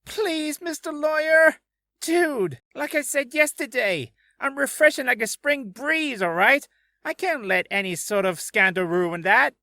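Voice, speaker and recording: A man's voice: very high voice, lively intonation, a little slow, pushed and bright, dry recording, studio quality, voice pushed up, heavily acted. A man playing a slick young celebrity, laid-back yet arrogant, in a video game. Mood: pleading, casual. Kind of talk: video game character acting.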